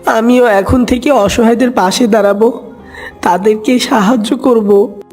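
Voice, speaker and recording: A performer voicing a gentle, frightened and grateful little fawn in a cartoon animal fable, pleading and earnest. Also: mid-range voice, fairly even intonation, a little slow, soft background music, lightly acted.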